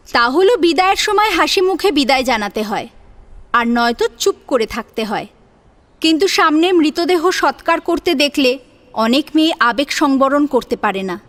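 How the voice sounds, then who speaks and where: A woman narrating a scripted dramatic audio story in an expressive manner. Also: sits high.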